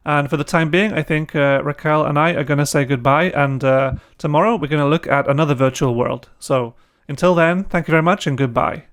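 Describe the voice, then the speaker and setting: A man's voice: a little high, fairly quick, few pauses. A man hosting a casual discussion on a video call, friendly and chatty while playing the learner. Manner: friendly, chatty, light laughs. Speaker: host, language educator.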